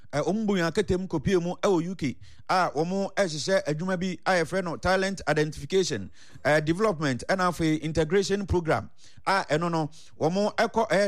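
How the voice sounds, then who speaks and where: Male sports news presenter, Ghanaian accent, voice on the high side. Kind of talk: sports news report with interview clips.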